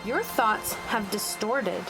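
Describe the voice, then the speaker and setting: A woman narrator reading aloud to young children in a deliberate, expressive storytelling manner. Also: mid-range voice, gravelly, music in the background, rough recording. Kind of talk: expressive read-aloud of rhyming picture book.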